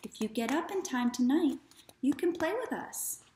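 A woman's voice: sits high, expressive, moderate pace, warm tone, a little hiss or hum, pitched above the performer's own voice. A woman voicing a kindly, comforting owl aunt in a storybook. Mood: soothing. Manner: soothing. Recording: echoey living room.

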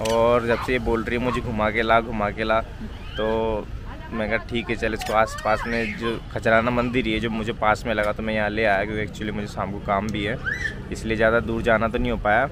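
A man's voice: fairly low pitch, level tone, moderate pace, noisy background. A young man, chatting casually to his vlog viewers and calling them brother and friends. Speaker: vlogger. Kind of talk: casual vlog chat to camera. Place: outdoors by a road at dawn, later indoors in a room.

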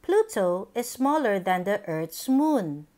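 Woman reading slowly and expressively to small children. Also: mid-range voice. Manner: simple, deliberate, expressive phrasing for young children. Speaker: children's book reader, channel host. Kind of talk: children's picture-book read-aloud.

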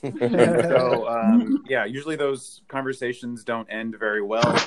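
A man in his thirties doing a stand-up set with deadpan one-liners, filmed on a webcam at home. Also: medium pitch, measured pace, very noisy. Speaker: stand-up comedian. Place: living room at home. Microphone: webcam, video call framing.

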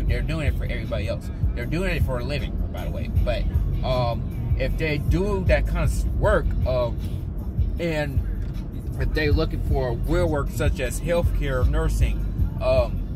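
A man vlogging from inside a moving car in a rambling, halting way, full of "um" and "uh". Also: mid-range voice, rough recording. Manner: rambling, halting, heavy on fillers. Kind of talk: casual talk while driving. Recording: loud music behind the voice.

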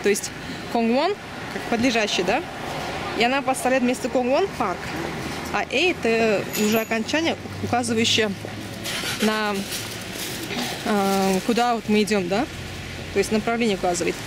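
A woman's voice: fairly high pitch, moderate pace, long pauses. A woman in her thirties from Uzbekistan, chatting loosely as she walks a city street at night and films on a handheld camera, with many fillers and restarts. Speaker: vlogger. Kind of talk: casual walking vlog. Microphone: handheld camera mic. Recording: outdoor street noise and passing cars. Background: traffic and street ambience.

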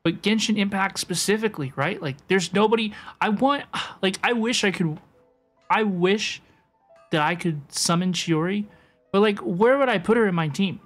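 A woman's voice: mid-range voice, moderate pace, faint music behind. A woman streaming a game, chatting casually and slangily with viewers and often repeating her phrases. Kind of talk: casual livestream chat over gameplay.

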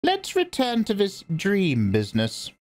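Guy doing a stern old lady, bossy and preachy, way up high, audiobook. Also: wildly swooping pitch, unhurried, full character voice. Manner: sharp, imperious, lecturing. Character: stern old woman, commanding religious elder.

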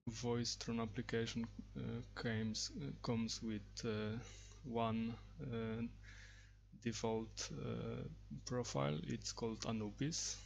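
A man giving an unscripted software demo in a hesitant, halting way. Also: medium pitch, little pitch movement, gravelly. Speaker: app developer demonstrating software.

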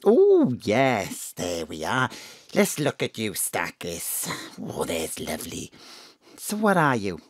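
A middle-aged South Welsh mother, low in pitch but very animated. She is flirty, scatterbrained and gushing, in a comic audio drama recorded dry and close. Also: slow, dry recording, heavily acted. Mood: breezy.

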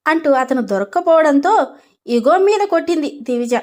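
Female storyteller, clearly high-pitched voice, scripted fiction narration. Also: expressive, normal speed.

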